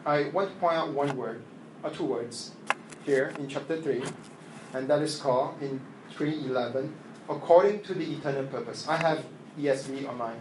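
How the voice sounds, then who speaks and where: A man whose first language is Chinese, preaching a sermon in English after an opening prayer, halting and earnest with many fillers and restarts. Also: on the high side. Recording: echoey room with faint ambient noise.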